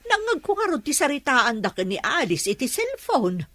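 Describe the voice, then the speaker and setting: A woman with an Ilocano accent playing a stern, probing middle-aged mother in a radio drama, her voice husky. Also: high voice, huge pitch swings, moderate pace, fairly bright tone, no echo, exaggerated voice. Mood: reasoning.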